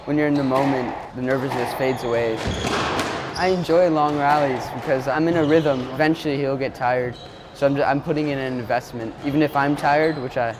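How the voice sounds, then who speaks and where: A man's voice: medium pitch, steady tone, fairly quick. A young American man talks easily and with a light touch about playing his sport. Heavy background noise runs under the interview. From Connecticut, US. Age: young adult. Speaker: college squash player.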